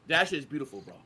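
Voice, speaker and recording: A man in casual, opinionated football chat, hosting with slangy banter and emphatic rhetorical questions. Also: fairly high pitch. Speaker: football show host and streamer.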